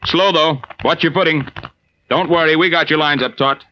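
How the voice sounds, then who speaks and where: An actor playing a steady, commanding navy diving officer and narrator in an old-time radio adventure, with clipped, reassuring authority. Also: male voice, a little high, moderate pace, lightly acted. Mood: steady, focused. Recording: noisy, dull old-time radio recording.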